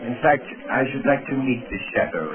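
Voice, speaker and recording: A man acts a learned foreign gentleman doctor from a 1930s radio play. He speaks with formal, measured courtesy over a narrow, tinny recording with voices murmuring behind. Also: medium pitch, expressive, crowd noise, close to an everyday voice. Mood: genial, curious. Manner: formal, measured, chuckling politeness. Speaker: radio actor. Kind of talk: 1930s radio drama acting.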